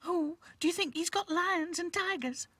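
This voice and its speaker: A timid girl rag doll from a storybook, acted by a man. Her very high voice whimpers and frets, nervous and gentle. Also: very animated, moderate pace, husky, no echo, exaggerated voice. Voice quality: very high-pitched.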